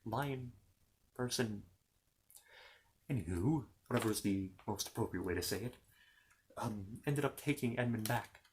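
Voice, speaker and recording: A man's voice: on the low side, unhurried, long pauses, slight background noise. Rambling male ASMR storyteller. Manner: rambling, playful asides to the listener, courteous. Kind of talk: ASMR storytelling, informal retelling of a children's fantasy tale.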